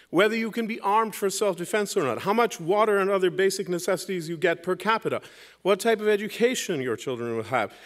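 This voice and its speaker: Higher-voiced man making an emphatic debate speech. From Lebanon, grew up in West Beirut. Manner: emphatic, argumentative, rhetorical questions. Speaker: debate panelist. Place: university debate hall.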